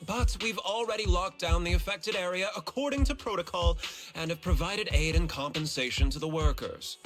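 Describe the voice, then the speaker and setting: A man's voice: a little high, natural voice. Man acting as smug, theatrical company executive. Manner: polished, pompous, courteous. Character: formal, smug male company executive, veiled menace.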